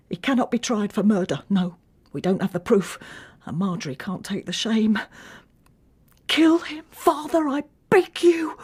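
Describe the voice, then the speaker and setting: An actress playing an anxious, earnest woman with quiet resolve in a radio drama, with an English accent. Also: fairly high pitch, husky, full character voice. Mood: anguished, vengeful. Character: devout, anxious working-class English woman with simmering resolve. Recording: faint background noise.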